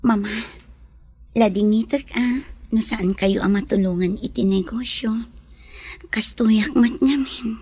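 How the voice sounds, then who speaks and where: A frail, apologetic daughter in a radio play. The voice is husky with breath and recorded dry. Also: female voice, on the high side, unhurried, long pauses, darkish tone, dry recording. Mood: apologetic, worried. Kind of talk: radio drama acting.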